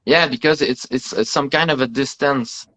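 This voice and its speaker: A man's voice: medium pitch, moderate pace, pushed and bright, rough recording. A man, a film director whose first language is French, answering interview questions over a video call with a French-speaking Canadian accent, hesitating and searching for words. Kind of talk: casual online interview.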